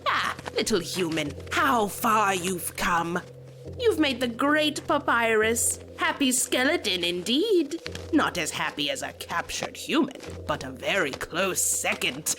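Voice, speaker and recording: A woman's voice: mid-range voice, big pitch swings, unhurried, over music. A woman voicing a pompous, childlike, cheerful male skeleton with theatrical delivery in a comic dub. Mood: proud, fond. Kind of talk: comic dub cartoon acting.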